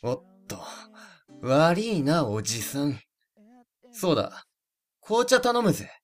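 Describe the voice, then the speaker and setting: A man's voice: fairly high pitch, sing-song, slow, faint music behind. Surly, curt teenage boy. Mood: curt, mocking. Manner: curt, grumbling, rude. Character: surly, sarcastic, foul-mouthed high school boy. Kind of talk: Japanese voice drama acting.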